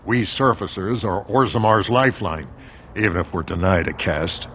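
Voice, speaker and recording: A deep-voiced dwarf merchant from a fantasy video game. He is proud, world-weary and formal, with a faint ambience around him. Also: expressive, normal speed, fairly bright tone, a little hiss or hum. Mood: bitter pride. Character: proud, bitter surface dwarf merchant, adult male. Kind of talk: scripted fantasy game dialogue.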